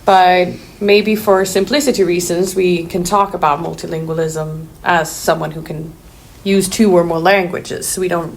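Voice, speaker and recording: A woman's voice: on the low side, steady tone, normal speed, creaky. A woman from Sweden moderating a casual discussion, leading it with inquisitive questions.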